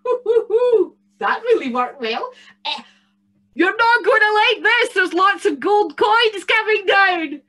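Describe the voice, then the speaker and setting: A woman's voice: very high voice, slow, pitched above the performer's own voice, heavily acted. A woman voicing a gleeful, scheming male bogle who cackles and gloats. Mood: taunting. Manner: cackling, gloating, breathless excitement.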